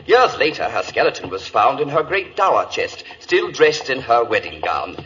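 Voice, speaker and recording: Fussy lord, lively and bright. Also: male voice, mid-range voice, moderate pace. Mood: fussy, confiding. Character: Lord Neville, fussy, talkative aristocratic father. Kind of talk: old-time radio drama acting. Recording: muffled old radio recording.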